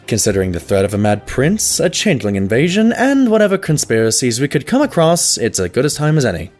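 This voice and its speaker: A man's voice: mid-range voice, big pitch swings, quick pace, a little mellow, faint music behind, natural voice. A man playing a sensible, long-suffering pony medic and sidekick in a cartoon audio drama, dry and exasperated. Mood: measured.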